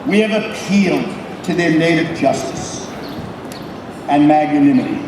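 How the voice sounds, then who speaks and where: A man giving a formal, solemn public reading of a proclamation as a ceremonial officer, projecting loudly with deliberate pauses between phrases. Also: on the high side, vocal fry. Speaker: military company captain giving a ceremonial reading. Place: public ceremony at an old statehouse. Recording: distant, echoey public address with background noise.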